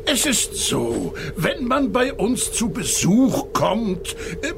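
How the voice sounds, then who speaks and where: Pompous mayor for kids' radio play: slow, wildly swooping delivery, greedy and childish, noisy background. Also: male voice, sits high, wildly swooping pitch, gravelly, full character voice. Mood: pompous, wheedling. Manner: blustering, wheedling, self-important. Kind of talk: children's audio drama acting.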